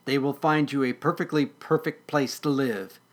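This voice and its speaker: A storyteller voicing a tired, grumpy furry male creature with a soft heart, grumbling and muttering in exasperation. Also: mid-range voice, steady tone, moderate pace, close to an everyday voice. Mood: gruff, resolved.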